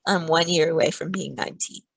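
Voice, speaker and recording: A woman's voice: deep voice, steady tone, fairly quick, creaky, no echo. A woman teaching a live online maths lesson, playful and teasing, walking through each step.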